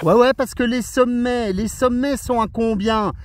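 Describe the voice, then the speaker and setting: A man's voice: very high voice, steady tone, unhurried, background noise. A man making a casual, upbeat radio contact as an amateur operator, chatty and often repeating little tag words.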